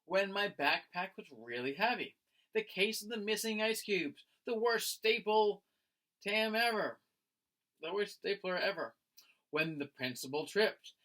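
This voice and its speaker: A man's voice: sits high, unhurried, pushed and bright. A man reading a children's picture book aloud like a teacher, expressively and with friendly address to young listeners. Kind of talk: animated children's read-aloud.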